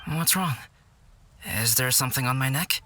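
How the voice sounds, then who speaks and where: Anime game scholar, played by a man: young male alchemist, calm, measured, subtly teasing, mid-pitched and bright. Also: slight background noise, close to an everyday voice. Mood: puzzled, amused. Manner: measured, composed, articulate.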